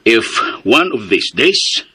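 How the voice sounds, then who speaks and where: A man with a Filipino Cebuano accent acting a boastful, scheming land buyer in a radio drama, raspy and smug, mixing in English phrases. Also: mid-range voice, sing-song, bright, forceful tone, heavily acted. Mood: threatening, smug. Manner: smug, taunting, drawn-out delivery with English phrases.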